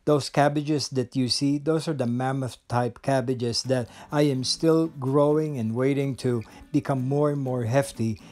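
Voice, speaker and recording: A man's voice: medium pitch, steady tone, unhurried, few pauses. A man giving a voice-over garden tour, explaining his vegetable beds with eager enthusiasm and the odd filler. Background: soft guitar music.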